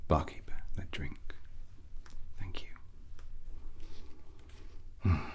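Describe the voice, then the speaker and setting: A man playing a drunken, arrogant, brooding rogue prince in a fantasy ASMR roleplay, speaking in a breathy whisper with halting, musing phrases. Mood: haughty, musing. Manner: slow, halting, musing, haughty.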